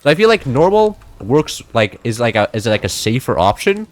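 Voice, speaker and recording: A young man in his late teens chatting loosely while playing a game, rambling with many fillers and restarts, his voice a little high for a man. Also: sing-song, moderate pace. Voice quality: slightly high-pitched for a man. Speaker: gameplay streamer. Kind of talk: casual chat while playing.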